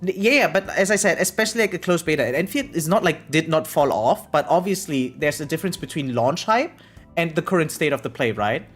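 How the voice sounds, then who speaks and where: Live game streamer, medium pitch, casual chat, rambling, thinking aloud, background music. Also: animated, a little fast. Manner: rambling, repeatedly tags sentences with "right?". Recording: music playing behind the voice.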